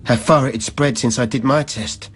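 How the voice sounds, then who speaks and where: A man's voice: medium pitch, steady tone, moderate pace, slightly rough, natural voice. A man playing a guilt-ridden former lab worker, giving a plain-spoken rhyming confession in a poetic radio drama. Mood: guilty, anxious.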